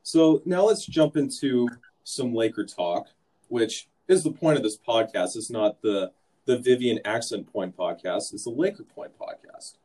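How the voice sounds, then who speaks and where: A man's voice: mid-range voice, unhurried, dark tone. A man hosting a casual sports podcast, laid-back and joking. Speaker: podcast host.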